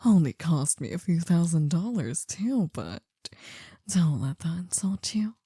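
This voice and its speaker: Woman acting slow, husky, possessive older mafia boss. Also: fairly low pitch, big pitch swings, mellow, no echo, clean studio sound, heavily acted. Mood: smitten, possessive. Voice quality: breathy, half-whispered, husky. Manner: slow, soothing, coaxing. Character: possessive, doting older female mafia boss, soothing and dominant. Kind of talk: intimate ASMR roleplay.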